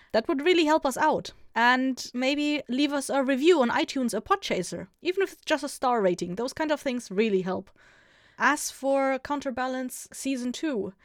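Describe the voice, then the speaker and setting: Higher-voiced woman hosting, dry sound. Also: no room sound. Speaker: show host, writer and producer. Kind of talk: informal podcast outro announcements.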